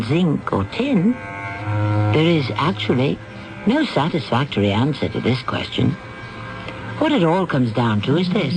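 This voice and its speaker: Animated male radio mystery host, higher-pitched. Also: rough recording. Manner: measured, portentous. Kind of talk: dramatic scripted 1970s narration.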